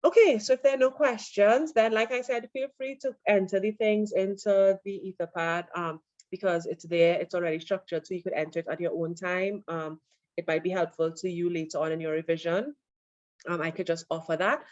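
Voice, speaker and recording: A woman lecturer giving an online class, relaxed and full of fillers as she answers questions. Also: medium pitch, moderate pace, no room sound. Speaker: university lecturer. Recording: dull lo-fi online audio.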